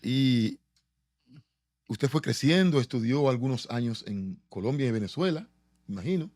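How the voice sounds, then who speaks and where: A man conducting a conversational interview, speaking in hesitant phrases with pauses. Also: mid-range voice, lively intonation, darkish tone, no echo, studio quality.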